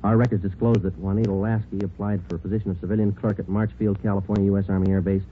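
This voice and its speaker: A man's voice: on the low side, little pitch movement, very fast, a little hiss or hum, natural voice. An actor playing a terse, businesslike American police sergeant in a 1940s radio crime drama, narrating his case in a clipped, matter-of-fact way. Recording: muffled vintage 1940s radio recording, music behind.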